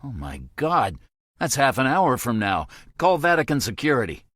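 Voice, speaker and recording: A man's voice: low voice, big pitch swings, moderate pace, a bit bright, no room sound, clean studio sound, full character voice. A man voicing a tense, shaken man who speaks urgently and emotionally.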